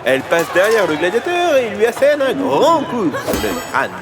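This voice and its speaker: An actor playing a scatterbrained, defensive schemer with mock-earnest pedantry in a comedic audio drama. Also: male voice, sits high, wildly swooping pitch, moderate pace. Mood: excited commentary. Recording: music bed behind the voice.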